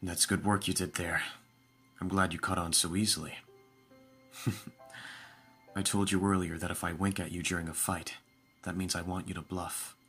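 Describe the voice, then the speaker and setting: A low-voiced, lordly young vampire played by a man speaks in formal, measured phrases, imperious yet doting toward his servant. It is an audio roleplay with clear music playing behind the voice. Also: level tone, a bit bright. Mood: pleased, confiding. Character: aristocratic young male vampire master, commanding yet doting. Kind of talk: ASMR audio roleplay acting. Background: background music.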